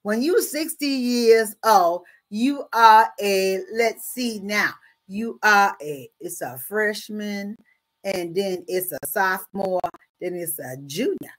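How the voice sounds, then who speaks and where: A woman playing a folksy, exuberant churchgoing storyteller with an American accent, reading to children with husky, exclamatory asides. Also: mid-range voice, takes it slowly. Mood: encouraging, admiring. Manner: exclamatory, folksy, repeats interjections. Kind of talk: dramatic read-aloud with chatty asides. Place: small room at home.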